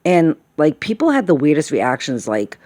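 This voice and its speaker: A woman's voice: low voice, wildly swooping pitch, normal speed, warm tone, no echo. Sighing, rambling woman in her late fifties. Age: late 50s. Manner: sighing, meandering, peppered with "okay?" and "all right?". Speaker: vlogger. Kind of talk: rambling casual monologue.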